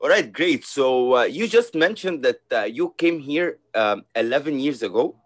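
A man's voice: mid-range voice, lively intonation, normal speed. A man from Egypt hosting a podcast interview, speaking hesitantly with many fillers and restarts. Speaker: podcast host. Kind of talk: casual interview.

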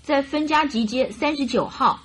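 A woman's voice: high voice, moderate pace, close to an everyday voice. A woman playing a naive, devoted young lady in distress in a radio drama, pleading and girlish. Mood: subdued, timid. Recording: radio drama with music behind.

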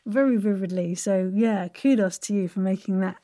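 A woman's voice: medium pitch, moderate pace, warm tone, no echo. A woman hosting a casual interview in an effusive, playful, chatty way. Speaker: podcast host.

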